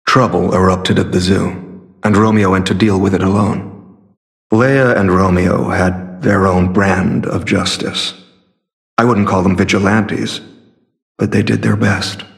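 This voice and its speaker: A man playing a secretive, earnest masked warrior in a video game, speaking in a measured, formal and solemn way. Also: low-pitched, steady tone, creaky. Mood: somber, concerned. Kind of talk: scripted game character dialogue.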